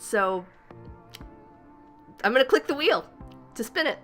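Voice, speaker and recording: A woman's voice: high-pitched, lively intonation, measured pace, a bit bright, soft background music. A woman hosting a casual livestream, slightly stuffy from a cold, chatty and upbeat, greeting viewers by name and laughing often. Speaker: livestream host.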